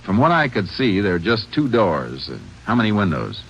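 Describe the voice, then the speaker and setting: An American actor playing a calm, probing Texas Ranger in an old-time radio crime drama, measured and matter-of-fact. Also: male voice, fairly low pitch, heavily acted. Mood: probing, businesslike. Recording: muffled, noisy old radio broadcast recording.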